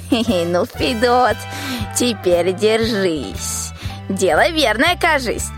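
A woman's voice: fairly high pitch, fairly bright tone. A female performer playing a sly, ailing old witch who grumbles in folksy rhyme in a radio fairy tale. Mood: scheming incantation. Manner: folksy, rhyming, grumbling speech.

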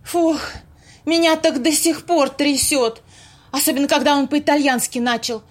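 An actress playing an excitable, grumbling woman at a séance in an audio play, speaking colloquially. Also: high voice, normal speed, lightly acted. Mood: shaken, grumbling. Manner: colloquial, complaining. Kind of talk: amateur audio play acting.

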